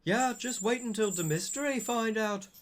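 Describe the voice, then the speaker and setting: Man doing a high, melodramatic wizard boy. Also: measured pace. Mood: taunting. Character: excitable, panicky, angry teenage wizard boys.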